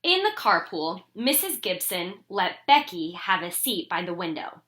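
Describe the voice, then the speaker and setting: A woman's voice: lowish voice, big pitch swings, measured pace, a bit bright. A woman reading a children's picture book aloud expressively, with dramatic, emphatic phrasing. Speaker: storybook reader. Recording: roomy, echoing room sound.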